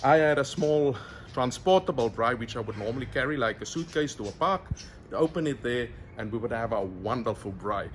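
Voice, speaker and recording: A man's voice: medium pitch, lively intonation, a little fast, slight background noise. A middle-aged South African man with an Afrikaans accent, chatting outdoors as he shows how to braai, addressing viewers as friends. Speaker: cooking vlogger. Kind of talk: friendly outdoor cooking tutorial.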